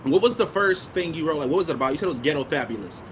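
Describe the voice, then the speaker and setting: A young man runs a laid-back podcast interview, joking and slangy and quick to say "mm-hmm." Clear hiss sits behind his voice throughout. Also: on the high side, expressive. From Detroit. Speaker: podcast host. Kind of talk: casual interview chat.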